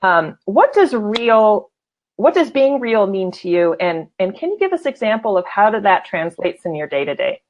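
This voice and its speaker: A woman's voice: mid-range voice, sing-song, normal speed, low-quality recording. A woman in her forties, a show host, interviewing a guest in an enthusiastic, effusive way, often restarting her sentences. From California. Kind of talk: casual interview conversation.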